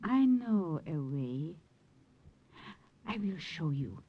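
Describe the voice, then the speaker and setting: Slow-spoken motherly French widow. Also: low-pitched, very animated, breathy edge, dull audio, heavily acted. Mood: thoughtful, helpful. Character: motherly, widowed French villager aiding the resistance. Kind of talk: 1950s radio drama acting. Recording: hissy old radio recording.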